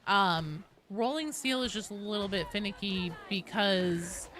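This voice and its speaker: A woman's voice: mid-range voice, measured pace, fairly bright tone. A woman game streamer chatting casually and playfully with her live viewers in an informal way. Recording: clear background noise behind voice.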